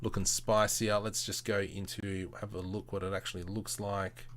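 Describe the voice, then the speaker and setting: Casting: male livestream host, lowish voice, informal, talks himself through computer work and chats with viewers. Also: flat delivery, normal speed, a bit bright, a little hiss or hum. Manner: informal, muttering to himself, playful self-talk. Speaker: livestream host demonstrating 3D modelling. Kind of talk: casual livestream chat with thinking-aloud tutorial commentary.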